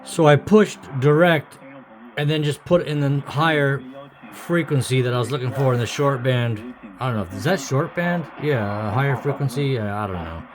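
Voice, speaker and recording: A man giving a casual, unscripted demonstration as a hobbyist, thinking aloud in a halting, uncertain way. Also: medium pitch, a crowd in the background. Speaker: hobbyist vlogger. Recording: noisy with clicks and radio. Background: radio noise and clicks.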